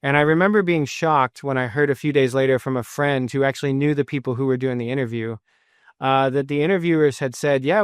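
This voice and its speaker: Man preaching a sermon, earnest and self-deprecating, mid-pitched, clear voice. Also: dry recording, studio quality. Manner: earnest, self-deprecating, retells scripture in a first-person voice. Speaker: pastor.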